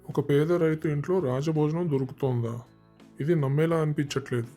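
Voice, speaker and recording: An actor playing a proud king in a cartoon, speaking in a formal, measured way. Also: a little high, dark tone, close to an everyday voice. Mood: curious, deliberate. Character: proud adult king, decisive, later remorseful. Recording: music under the voice.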